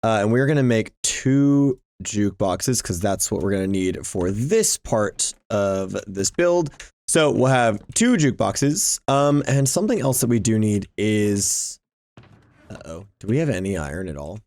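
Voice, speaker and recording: A man's voice: lowish voice, very animated, unhurried, a little mellow, no echo. A man giving casual, exclamation-filled gameplay commentary, often talking to himself as he plays. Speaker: gaming YouTuber hosting a Minecraft series.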